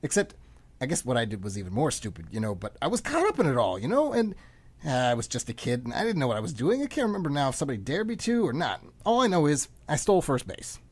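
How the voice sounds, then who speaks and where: A man acting in a comic radio play as a frantic, rambling middle-aged man under arrest, excitable and often interrupting himself. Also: medium pitch, quick pace, husky. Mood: rambling, nostalgic.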